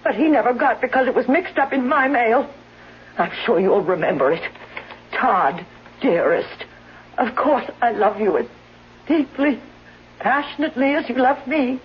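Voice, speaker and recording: A woman's voice: a little high, moderate pace, natural voice. A woman playing an ailing, bitter, suspicious wife in a 1970s radio drama, dramatic and accusing. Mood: scornful, accusing. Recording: muffled, noisy 1970s radio broadcast.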